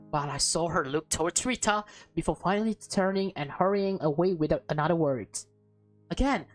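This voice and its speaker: A woman reading a visual novel aloud as a let's player, informally, with casual asides and stumbles. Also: mid-range voice. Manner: informal, stumbles over words and comments on the story. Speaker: let's play host. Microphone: close mic. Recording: background music under the voice.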